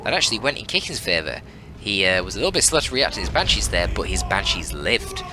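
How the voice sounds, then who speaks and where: Deep-voiced man casting a game. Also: sing-song, fast talker, over music. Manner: casual, mildly sweary, exclamatory. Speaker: esports caster, channel host. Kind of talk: live game commentary, analytical and informal.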